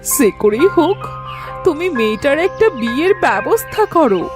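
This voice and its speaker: A woman's voice: sits high, sing-song, a little slow, music in the background. A woman voicing an anxious village mother and wife in a cartoon, fretful and plaintive. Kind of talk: Bengali cartoon voice acting.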